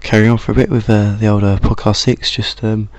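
A man's voice: fairly low pitch, flat delivery, brisk, a little mellow, no echo. A British man hosting a podcast in a casual, bantering way. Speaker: podcast host.

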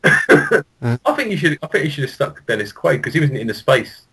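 A man co-hosting a podcast in casual, unscripted banter with a playful, excitable manner. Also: medium pitch, brisk, breathy edge. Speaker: podcast host.

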